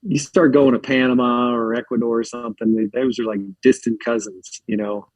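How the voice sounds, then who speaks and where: Guest from Philadelphia. Man with a husky, dry voice who rambles through casual stories. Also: mid-range voice, steady tone, normal speed, no echo, rough recording. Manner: rambling, filler-heavy, uses lots of likes. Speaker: podcast guest. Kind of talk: casual storytelling chat.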